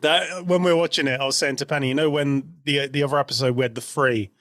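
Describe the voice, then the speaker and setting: A man co-hosts a relaxed chat about television. His voice is mid-pitched and conversational, captured dry with very little room sound. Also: fast talker, no echo. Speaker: podcast co-host. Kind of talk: casual chat about a TV show.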